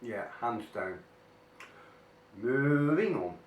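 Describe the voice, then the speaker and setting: A man's voice: medium pitch, sing-song, narrow, tinny audio. An older man, talking casually through weekly questions while he has a cold, with many ums and mm-hmms. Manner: slow, chatty, frequent mm-hmms and ums. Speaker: vlogger. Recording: echoey room, mic at a distance, faint noise.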